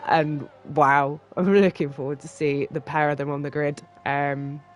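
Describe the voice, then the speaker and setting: A live sim racing commentator with a stuffy cold, reacting quickly and excitedly to lap times. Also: medium pitch, soft background music. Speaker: race commentator.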